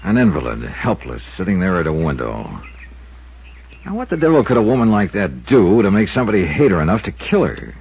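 An actor playing a shrewd, skeptical insurance investigator with an American accent, pensive and probing, in a 1950s radio detective drama. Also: male voice, low-pitched, big pitch swings, a little fast, a little hiss or hum. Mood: brooding, speculative. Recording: dull lo-fi 1950s radio recording.

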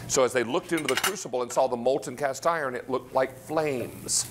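Middle aged american guy selling stuff live on tv, gushy and folksy, normal pitch. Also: brisk, fairly bright tone, a little hiss or hum. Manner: effusive, folksy. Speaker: shopping channel host. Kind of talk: live home-shopping sales pitch. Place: TV studio kitchen.

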